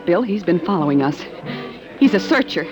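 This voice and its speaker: An actress playing an anxious, frightened wife in an old-time radio drama, with breathless, emotional delivery. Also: female voice, on the low side, animated, normal speed, lightly acted. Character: anxious young wife, frightened of being followed. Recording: vintage radio recording, band-limited, music behind.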